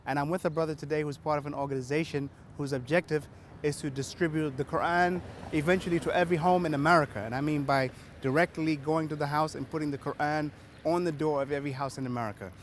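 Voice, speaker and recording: A man's voice: on the high side, steady tone, quick pace, hardly any pauses. A man hosting an on-location interview as a presenter, speaking outdoors. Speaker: interviewer and show host. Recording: clear outdoor street and traffic noise. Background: street ambience and vehicles.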